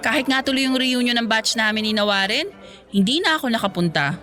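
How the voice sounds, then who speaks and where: A woman's voice: fairly high pitch, lively intonation, a little fast, sharp tone, soft background music. An actress playing a chatty, candid single career woman, breezy and teasing with her friend in radio drama dialogue.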